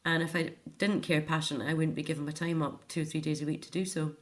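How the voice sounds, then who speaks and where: A woman's voice: low voice, level tone, quick pace. A middle-aged woman speaking earnestly and emphatically as a guest in a reflective personal interview.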